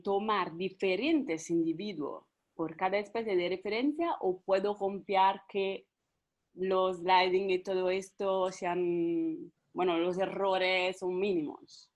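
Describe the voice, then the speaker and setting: Italian woman asking haltingly in Spanish. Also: medium pitch, a little mellow, lo-fi sound. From Italy. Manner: hesitant, searching for words. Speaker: student asking a question. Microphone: video call.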